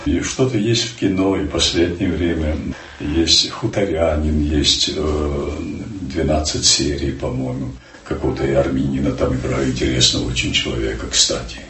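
An older man from Ukraine, a stage actor, telling stories in an unhurried, emphatic way during an interview. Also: rumbling low, fairly even intonation, a bit bright, roomy sound, distant mic, a little hiss or hum. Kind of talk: reflective interview answers.

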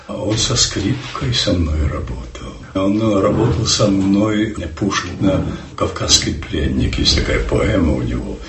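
Older male actor, low voice with a lively melody, recorded from a distance in a reverberant room. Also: unhurried, background noise. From Ukraine. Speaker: stage actor, interview guest. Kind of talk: reflective interview answers.

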